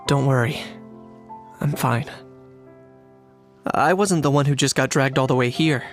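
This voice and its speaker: A man's voice: medium pitch, unhurried, close to an everyday voice. An actor playing a stoic, pragmatic young man in a video game, speaking in a dry, composed way with music behind. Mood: calm, resolute. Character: stoic, pragmatic, self-possessed young male adventurer. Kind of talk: video game character acting.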